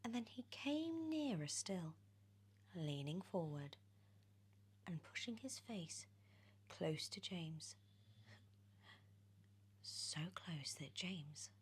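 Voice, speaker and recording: A British woman reading a storybook in ASMR style, drifting between soft whispers and expressive, animated speech. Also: lowish voice, slow pace, pauses often, breathy edge. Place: on a sofa indoors.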